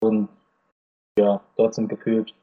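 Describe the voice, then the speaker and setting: A man's voice: fairly low pitch, completely flat delivery, slow pace, narrow, tinny audio. A nineteen-year-old man from Thailand, a podcast guest chatting casually and hesitantly, with plenty of fillers. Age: teens. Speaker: podcast guest, anime YouTuber.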